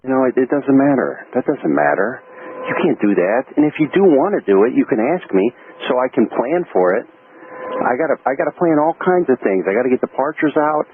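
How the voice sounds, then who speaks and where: A man's voice: medium pitch, animated, a little fast. Stern male controller on narrow radio. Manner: stern, lecturing, insistent. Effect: aviation radio. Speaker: air traffic controller. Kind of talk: radio exchange with pilots. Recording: narrow, slightly noisy radio.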